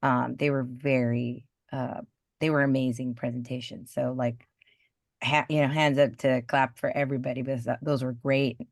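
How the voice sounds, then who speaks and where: A woman's voice: extremely low pitch, level tone, normal speed, no echo, studio quality. A woman teacher and club vice president speaking at an online meeting, chatty and enthusiastic. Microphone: video call.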